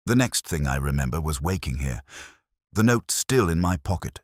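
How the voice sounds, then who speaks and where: A man performs a haggard, fearful clerk from a detective audio story. He pleads and stammers in a rough, hoarse voice, pushed up in pitch with lively swings, recorded close and dry. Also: moderate pace, dry recording, exaggerated voice. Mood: haunted confession. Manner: stammering, trembling, desperate.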